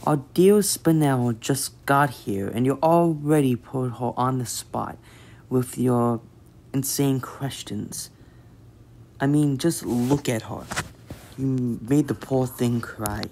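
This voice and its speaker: A woman's voice: extremely low pitch, slow pace, dark tone, slight background noise, exaggerated voice. A woman voicing an imperious, condescending giant gem queen in an amateur cartoon comic dub, stately and haughty. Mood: scolding.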